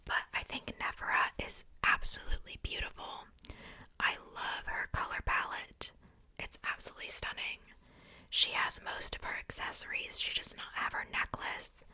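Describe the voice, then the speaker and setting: A young adult woman speaking in a breathy, unvoiced whisper, doing a casual ASMR show-and-tell in a soft, rambling, unhurried way. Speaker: ASMR video creator.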